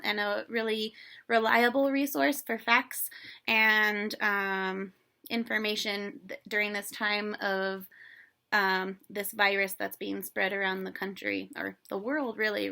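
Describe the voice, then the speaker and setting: A woman reading a children's story aloud in an animated, playful way, with chatty casual asides to young listeners. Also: mid-range voice, vocal fry.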